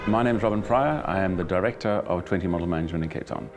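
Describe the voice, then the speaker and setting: A man who runs a model agency, speaking in a brisk, businesslike way in a documentary interview. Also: low-pitched, loud music behind the voice.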